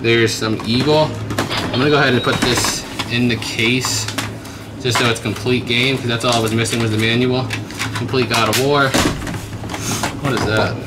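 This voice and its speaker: A man's voice: mid-range voice, fairly even intonation, normal speed, long pauses, heavy background noise. A man in his twenties giving rambling, casual commentary to viewers while digging through a storage unit. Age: 20s. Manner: chatty, rambling, talks to viewers. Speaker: treasure-hunting vlogger. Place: indoors in a metal storage unit. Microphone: handheld camera mic.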